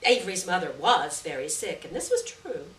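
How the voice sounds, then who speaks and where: A woman reading a literary short story aloud at a live event, with measured, deliberate phrasing and stressed words. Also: on the low side, lively intonation, a bit bright. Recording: distant roomy live recording, faint noise.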